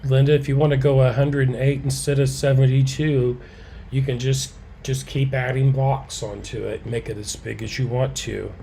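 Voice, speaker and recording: A man teaching a live quilting and fabric-painting demonstration, slightly hoarse, chatting with viewers by name and muttering softly to himself as he works. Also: medium pitch, normal speed, slight background noise. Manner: relaxed, talks softly to himself while he works; answers viewers' comments by name. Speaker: quilting educator.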